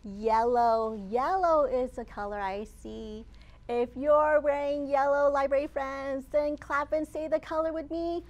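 A woman in her thirties, a children's librarian hosting storytime, reading aloud expressively and deliberately with dramatic emphasis for young children. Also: high voice, muffled recording. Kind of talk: animated read-aloud of a picture book for young children. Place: open library children's area, indoors.

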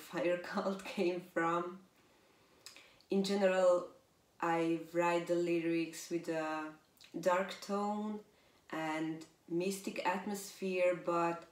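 A young woman with a Hungarian accent, a band's lyricist, giving slow, thoughtful interview answers from a sofa. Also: on the low side. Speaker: band lyricist. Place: living room on a leather sofa. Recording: echoey room, mic at a distance.

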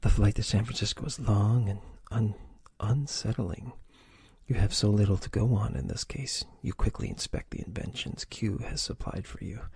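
A man reading an adventure gamebook aloud in a soft, breathy ASMR whisper, stopping to choose pages. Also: lowish voice, moderate pace, dry recording. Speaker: reader of a choose-your-own-adventure book.

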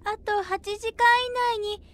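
A woman's voice: extremely high pitch, steady tone, a little slow. A timid, polite little girl. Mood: meek. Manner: polite, meek.

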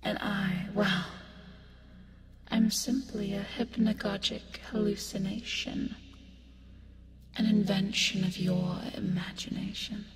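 A woman playing a seductive, teasing sleep demon in an ASMR roleplay, breathy and often whispering, creepy yet soothing as she coaxes. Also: mid-range voice, flat delivery. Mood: sly, hushed. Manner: slow, taunting, coaxing with pet names. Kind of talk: ASMR roleplay, hypnotic bedtime delivery. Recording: long reverb, music behind. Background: music.

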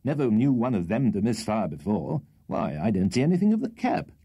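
Hearty old English country host played by a male reader, brisk, bossy, jovial storybook figure, lively intonation. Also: on the low side, gravelly, slightly rough, no echo, highs cut off, full character voice. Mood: puzzled.

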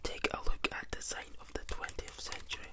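A man with a slight cold, hosting an ASMR video in a slow, croaky whisper while reading aloud at a table. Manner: soft unvoiced whisper, unhurried. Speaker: ASMR channel host. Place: indoors at a table.

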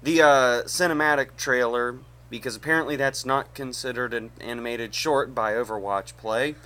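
Bright-voiced young man, halting video host. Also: medium pitch, slight background noise. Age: young adult. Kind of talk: casual reaction chat. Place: small indoor room.

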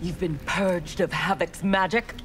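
A woman's voice: fairly low pitch, fairly even intonation, a little slow, fairly bright tone, slight background noise. A woman voicing a proud, ruthless young female ninja warrior in a video game, speaking in a cold, haughty, clipped way.

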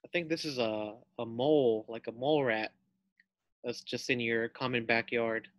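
A man's voice: medium pitch, moderate pace, dry recording, dull audio. A man reading a children's storybook aloud as a teacher, expressively, with explanatory asides and questions to pupils.

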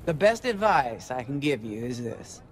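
A man playing a no-nonsense veteran alien squadron leader in a video game, brisk and firm. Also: medium pitch, sing-song, close to an everyday voice. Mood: stern, steady. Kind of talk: video game character dialogue. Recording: music behind the voice.

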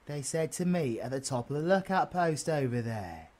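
A man's voice: on the high side, expressive, normal speed, a little mellow, lightly acted. Man acting a cheeky, foul-mouthed British supervillain. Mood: cheeky teasing. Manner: teasing, swearing, slangy banter. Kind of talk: audio roleplay voice acting.

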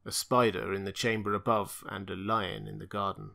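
A man voicing a blunt young barbarian thief, speaking low, curt and muttered. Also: flat delivery, moderate pace, creaky, pushed and bright, heavily acted. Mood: gruff.

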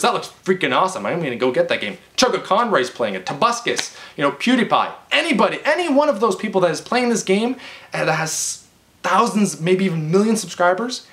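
A man's voice: a little high, fairly bright tone. A man, giving an exasperated, emphatic off-the-cuff rant to camera, often stammering and restarting. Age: 20s. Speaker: video game vlogger. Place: living room, indoors. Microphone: webcam or camera at about arm's length.

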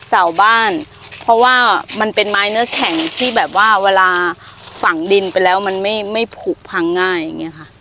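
A middle-aged woman giving an unhurried, explanatory walk around her farm, pointing out trees and their uses, with hesitant fillers. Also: medium pitch, noisy background. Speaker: farm owner showing trees. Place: outdoors on a farm among trees.

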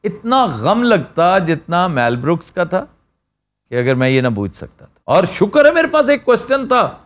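A middle-aged man hosting a studio chat show, telling stories and reciting verse in an animated way. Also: sits high, moderate pace. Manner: animated, storytelling with quoted voices. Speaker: host. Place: TV studio set.

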